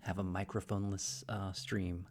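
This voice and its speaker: A man's voice: sits low, level tone, moderate pace, a little mellow. A man livestreaming casually from a room in a shared house, rambling with frequent restarts and fillers. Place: room in a shared house with thin, echoey walls. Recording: clear music playing underneath.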